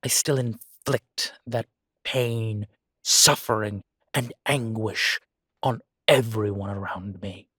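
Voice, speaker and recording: A man playing a guilt-ridden, centuries-old vampire in an audio drama, speaking gravely and formally with weary, deliberate pauses. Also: on the low side, bright, forceful tone, dry recording, exaggerated voice. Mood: weary, guilty. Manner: slow, grave, deliberate phrasing with long pauses. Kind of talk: dramatic audio roleplay acting.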